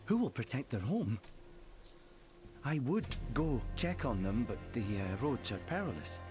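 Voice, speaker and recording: Plaintive villager, very animated pitch. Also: male voice, unhurried. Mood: plaintive, evasive. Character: anxious, excuse-making son, a timid village layabout. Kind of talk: dramatic video game acting. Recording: music behind.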